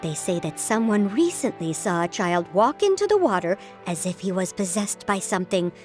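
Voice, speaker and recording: A woman playing an earnest, superstitious, excitable association representative in an anime-style video game, with a dramatic storytelling manner. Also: mid-range voice, normal speed, soft background music, close to an everyday voice. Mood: insistent, conspiratorial.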